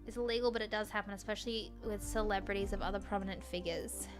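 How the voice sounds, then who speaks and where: A woman's voice: mid-range voice, fairly even intonation, quick pace, pitched below the performer's own voice, lightly acted. A woman reading a visual novel's composed palace advisor aloud in a formal, matter-of-fact way, as if reporting to royalty. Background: background music.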